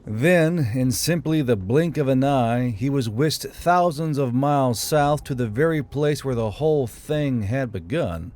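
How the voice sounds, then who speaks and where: A man's voice: medium pitch, animated, unhurried, hardly any pauses. A man narrating fantasy fiction from a script as an audiobook reader. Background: soft background music.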